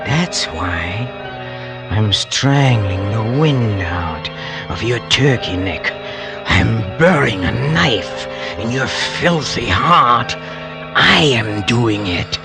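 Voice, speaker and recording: An actor playing a bitter, cold-blooded hired killer who confesses in a brooding, halting way, in vintage radio drama style. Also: male voice, fairly low pitch, very animated, vocal fry, exaggerated voice. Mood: seething menace. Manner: brooding, halting, repeats himself with menace. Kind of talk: 1940s-50s radio drama acting. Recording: old radio broadcast with music underneath.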